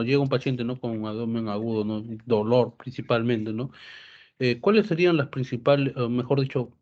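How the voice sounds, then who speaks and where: A man's voice: mid-range voice, darkish tone, muffled recording. A male medical student asking hesitant questions in class, restarting his sentences.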